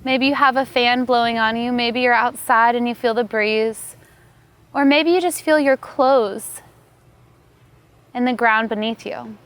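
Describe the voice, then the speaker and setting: A woman yoga teacher leading a guided meditation, giving calm, unhurried, soothing instructions. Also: a little high.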